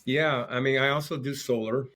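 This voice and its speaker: A man's voice: mid-range voice, fairly even intonation, normal speed, a bit bright, slight background noise. A man speaking as a podcast guest, explaining things conversationally and often repeating words as he goes. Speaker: podcast guest, entrepreneur and coach.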